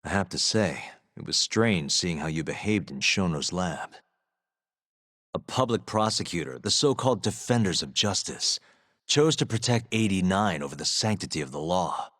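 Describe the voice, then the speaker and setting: A very deep, cool lawyer hero. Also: male voice, lively intonation, normal speed, fairly bright tone, no room sound, clean studio sound. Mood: accusing. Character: cool, determined male lawyer hero, quietly confrontational. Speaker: video game voice actor. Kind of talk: English video game cutscene acting.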